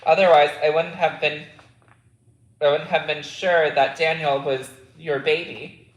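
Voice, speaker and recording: Man reading a caring, earnest mother, a bit high-pitched, echoey room. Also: fairly even intonation, a little slow, highs cut off, lightly acted. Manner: plainly read dialogue with small slips. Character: caring mother, middle-aged woman, earnest.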